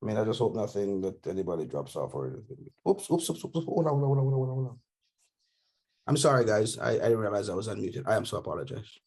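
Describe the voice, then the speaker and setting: A man speaks over a video call as the meeting's host. His voice sits low, with a gravelly, husky grain. He talks in an apologetic, hurried way and stumbles over his words. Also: sing-song, a little mellow.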